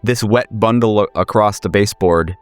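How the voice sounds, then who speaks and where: Deep, clear-voiced man narrating a horror game. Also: no room sound, soft background music. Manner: halting, searching delivery with repeated words. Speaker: game master.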